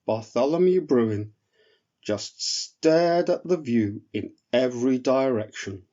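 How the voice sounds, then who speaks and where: A man reading a children's story as narrator, in a measured, expressive way. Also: mid-range voice, dull audio. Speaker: storyteller, author reading own work.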